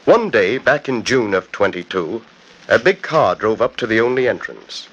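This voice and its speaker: A man narrating a 1940s radio mystery in a theatrical, deliberate storytelling manner. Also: on the low side, very animated, lo-fi sound. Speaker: narrator, host. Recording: old 1940s radio broadcast.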